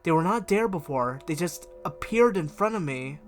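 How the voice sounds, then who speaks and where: A man's voice: on the high side, animated, normal speed, faint music behind. A man reading a diary-style horror story aloud as scripted narration, with expression. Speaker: fan fiction reader and video creator.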